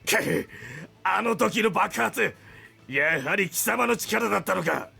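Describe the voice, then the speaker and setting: Sharp, creaky-voiced sadistic villain. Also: male voice, high voice, lively intonation, moderate pace, soft background music, exaggerated voice. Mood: cold, threatening. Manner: menacing, taunting, rough-spoken. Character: sadistic, bloodthirsty man-eating male villain with a scythe. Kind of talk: Japanese visual novel voice acting.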